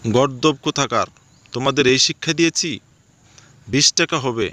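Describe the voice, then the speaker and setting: A man's voice: medium pitch, moderate pace, bright, forceful tone, close to an everyday voice. A man playing a stern, easily exasperated schoolteacher in a comedy cartoon, speaking in a questioning, scolding way. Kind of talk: comedy cartoon dialogue in joke skits.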